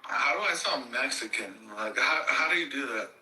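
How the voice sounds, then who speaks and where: A man's voice: medium pitch, animated, moderate pace, bright, forceful tone. A man from Texas in a casual group chat, trading profane, defensive jokes. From Texas, United States. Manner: profane, defensive joking banter. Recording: roomy, distant audio.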